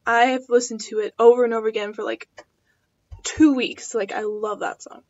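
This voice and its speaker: A young woman in her twenties rambles through personal questions, her voice set a touch high and peppered with "um" and "like". It sounds like a casual home vlog, and the audio comes through a little dull and lo-fi. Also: fairly even intonation, moderate pace, darkish tone. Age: 20s. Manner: chatty and rambling, full of fillers. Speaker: vlogger. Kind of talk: casual chat answering tag questions.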